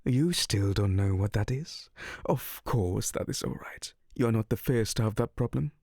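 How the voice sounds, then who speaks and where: A man's voice: lowish voice, measured pace, vocal fry, darkish tone, no echo. A man voicing a seductive, teasing male incubus in an ASMR roleplay, close on the mic.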